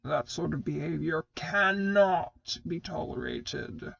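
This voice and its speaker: A woman voicing a stern, commanding male mentor in armor, with deep gravelly tones and formal, measured speech. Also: expressive, dull audio, full character voice. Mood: cold anger.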